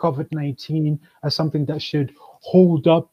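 A man, a guest speaking in a measured, explanatory way on a video call. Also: a little high, fairly even intonation. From Kenya. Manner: measured, explanatory, with frequent ums. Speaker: guest, chamber of commerce manager. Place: indoors, plain room. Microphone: webcam on video call. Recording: dull lo-fi video call audio.

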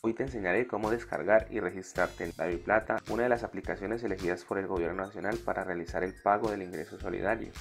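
This is a Colombian man with a fairly deep voice and a strong gravelly creak. He talks as a tutorial host, guiding listeners one step after another. Faint music plays behind his voice-over. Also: steady tone, fast talker, hardly any pauses. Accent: Colombian Spanish. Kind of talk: step-by-step app tutorial narration.

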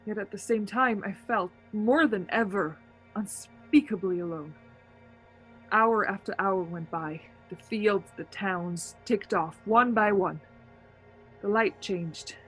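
Husky, anxious young woman acted out over faint music. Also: medium pitch, slow pace, fairly bright tone, poor audio. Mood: brooding dread. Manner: earnest, dramatic, nervous. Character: haunted, anxious young woman driver telling her story. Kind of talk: suspense radio play narration and acting.

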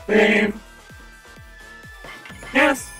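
A man with a strikingly high, slightly raspy voice who chats upbeat and casually to a live stream audience. He speaks into a desk mic. Music plays clearly underneath him. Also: extremely slow. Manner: chatty, upbeat, talks to chat.

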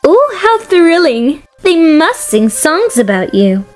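Woman playing a high-pitched, gushing young noblewoman. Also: sing-song, slow pace, exaggerated voice. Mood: excited, gushing. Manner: gushing, playful, theatrical. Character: vivacious, gossip-loving young noblewoman ruling a wealthy city. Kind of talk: fan dub voice acting of a fantasy story game. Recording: music behind the voice.

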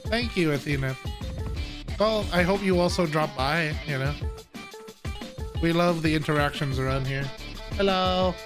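A man's voice: a little high, measured pace, pauses often. A man live-streaming a game, chatting casually and welcoming his viewers, with the odd swear word thrown in. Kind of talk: casual livestream chat while gaming. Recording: loud game music behind. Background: rhythm game music with guitar.

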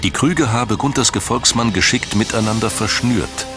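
Deep male doc narrator, measured n dramatic, music behind him. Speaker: documentary narrator. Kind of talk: scripted documentary narration. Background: background music.

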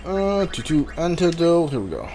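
A man's voice: on the high side, sing-song, normal speed, dark tone, a little hiss or hum. A man streaming a video game with casual commentary, thinking aloud in fragments and talking to the characters. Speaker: video game streamer playing a blind playthrough.

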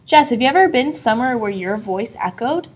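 High-voiced woman reading to a child. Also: animated, brisk, breathy edge. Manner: asks a child engaging questions. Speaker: storybook reader. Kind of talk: expressive children's read-aloud.

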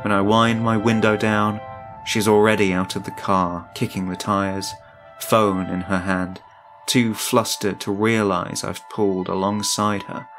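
A man's voice: on the low side, flat delivery, a little slow, a bit bright. A man reading a scripted first-person horror story as its narrator. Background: music.

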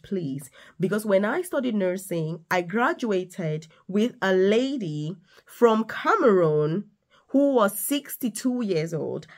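A woman in her thirties talks straight into a close camera in a small indoor room, giving career advice in a casual vlog. Her delivery is animated and emphatic, and she keeps addressing the viewer directly. Her voice sits at an ordinary middle pitch. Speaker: vlogger, nurse and career coach.

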